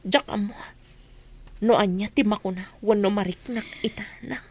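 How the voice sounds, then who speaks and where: A woman's voice: mid-range voice, normal speed, darkish tone, lightly acted. A woman playing a hurt, resentful grown daughter, earnest and emotional, in an Ilocano radio drama.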